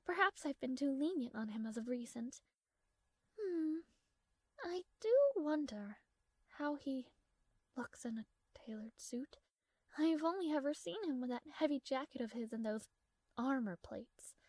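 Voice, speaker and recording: A woman's voice: high voice, slightly rough, no room sound. A woman playing a proud, strong-willed young princess in a romantic fantasy audio roleplay, speaking formally with haughty, flustered hesitations. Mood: flustered, smitten.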